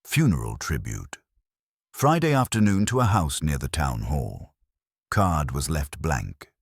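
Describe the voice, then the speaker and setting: A male narrator voices a discreet florist giving evidence in a detective story. He is very deep in pitch, with clipped, understated phrasing that still moves up and down. The sound is dry and close. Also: slightly rough, no room sound, full character voice. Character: tradesman florist, observant male witness, matter-of-fact.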